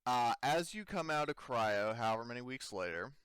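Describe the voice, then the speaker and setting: A man acting as game master, narrating a tabletop game casually and haltingly as he improvises. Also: medium pitch, animated, fairly bright tone, clean tone, no echo. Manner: halting, conversational.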